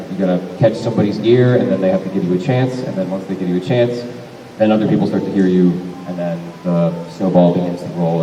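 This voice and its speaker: Mellow-voiced man in an echoey hall. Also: lowish voice. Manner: rambling, self-correcting, laughs often. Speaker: voice actor panel guest. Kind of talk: convention Q&A panel talk. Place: large convention hall. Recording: echoey hall, mic from far off, faint noise.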